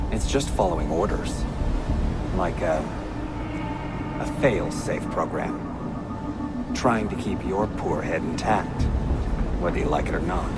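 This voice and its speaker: A blunt, probing game character, talking in a conversational but needling way. The voice is on the low side, with loud music behind it. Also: male voice, vocal fry, exaggerated voice. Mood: explaining. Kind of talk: conversational video game acting. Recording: loud music over dialogue.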